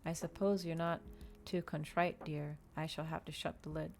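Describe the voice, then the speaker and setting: A woman plays a sardonic male vampire from a gothic storybook, composed and coaxing. The delivery is mannered and tender, pitched a little low, with clear noise behind it. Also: little pitch movement, normal speed, darkish tone. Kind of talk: ASMR storybook reading.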